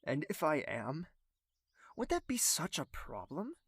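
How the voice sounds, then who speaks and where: Prince: calm, guarded, gravelly mid-range voice, audio drama, dry close recording. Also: male voice, on the high side, a little slow, no room sound, full character voice. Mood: calm, evasive. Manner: calm, composed.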